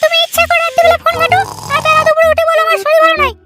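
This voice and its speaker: Sharp-tongued cartoon character, childlike very high pitch, rapid, mocking, crude comedy. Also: flat delivery, soft background music. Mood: angry scolding. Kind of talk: Bengali comedy cartoon dubbing.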